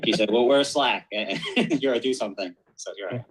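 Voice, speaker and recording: A man's voice: mid-range voice, normal speed, slight background noise, low-quality recording. A man from the United States, a physics professor, introducing himself on an online panel with frequent repeated words. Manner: stammering restarts.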